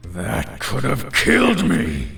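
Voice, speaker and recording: Gravelly, slow-spoken rogue mage played by a male narrator, angry and exasperated, forceful edge, fantasy audio drama. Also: medium pitch, huge pitch swings, recorded from a distance, over music, heavily acted. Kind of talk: fantasy audio story character acting.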